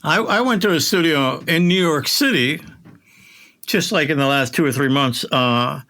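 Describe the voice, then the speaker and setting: A man's voice: on the high side, moderate pace, bright, forceful tone. A middle-aged man talking shop casually as an audio producer, in an emphatic, conversational way.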